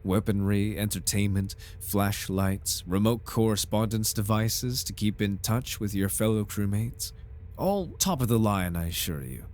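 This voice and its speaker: Casting: male actor as low-pitched company recruiter, silky, formal, flirtatious with a sinister edge, for ASMR roleplay. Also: unhurried, darkish tone, close to an everyday voice. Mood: smug salesmanship. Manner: theatrical, formal, silky salesman politeness. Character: smooth-talking, flirtatious, faintly sinister corporate recruitment advisor. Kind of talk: ASMR roleplay, scripted in-character monologue.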